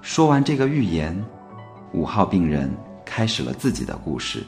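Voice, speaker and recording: A man's voice: deep voice, big pitch swings, normal speed, gravelly. A man narrating a scripted story for an audio drama. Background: music.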